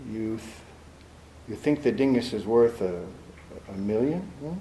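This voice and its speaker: Hard-boiled detective, drawling, slow, mellow tone, roomy old broadcast. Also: male voice, on the low side, animated, slight background noise. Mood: stalling. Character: tough, wisecracking male private detective. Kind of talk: 1940s radio acting.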